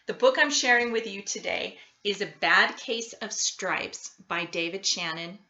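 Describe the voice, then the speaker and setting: A woman reading a children's picture book aloud in an expressive, deliberate storytelling style. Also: medium pitch, muffled recording. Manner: animated, deliberate storytelling delivery. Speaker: storybook reader.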